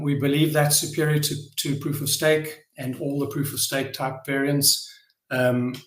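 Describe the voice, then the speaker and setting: A middle-aged man with a South African accent giving an informal, unscripted address as a company founder, hesitant and earnest, often pausing and repeating words. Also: medium pitch. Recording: echoey room sound.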